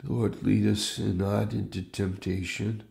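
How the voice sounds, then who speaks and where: A man in his fifties, a pastor giving a morning devotional from his home study, reading scripture and praying in a reflective way, pausing and restarting his phrases. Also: lowish voice, level tone, creaky, darkish tone, reverberant.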